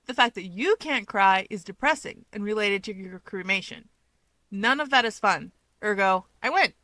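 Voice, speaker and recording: A woman voicing an excitable, proud and huffy young winged male hero in a fan fiction audiobook, emphatic and argumentative. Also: mid-range voice, normal speed, no echo. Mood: triumphant.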